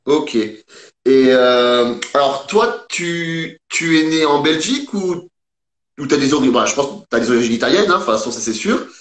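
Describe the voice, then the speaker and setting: A man's voice: medium pitch, expressive, normal speed. A man in his thirties hosting a casual online interview, chatty and joking as he asks questions. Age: 30s. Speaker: host. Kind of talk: casual video call interview. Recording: roomy echo.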